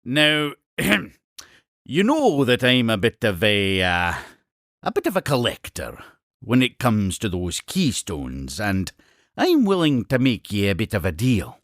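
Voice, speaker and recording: A man's voice: on the low side, wildly swooping pitch, unhurried, fairly bright tone, dry recording, studio quality, heavily acted. A man voicing an exuberant, eccentric Scottish explorer-inventor creature in a cartoon game, grandiose and effusive with old-fashioned phrasing. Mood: scheming, coaxing. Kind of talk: theatrical cartoon game character dialogue.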